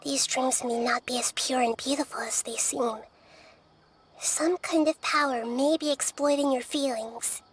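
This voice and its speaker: A woman voicing a gentle, wise and thoughtful young girl deity in a video game, soft and girlish, with measured formal phrasing. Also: high-pitched, steady tone, slight background noise. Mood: gentle, serious. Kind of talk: English video game voice acting.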